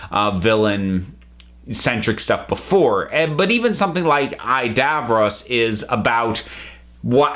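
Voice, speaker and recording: Guy doing a casual review, medium pitched voice, chatty, thinks out loud and cuts himself off to reword stuff. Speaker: reviewer, channel host. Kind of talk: casual spoken audio drama review.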